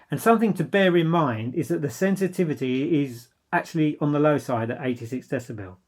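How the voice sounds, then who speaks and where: A man gives an informal product review. His voice sits a little higher than average for a man. He speaks with enthusiasm and clear emphasis, like a dealer presenting his wares.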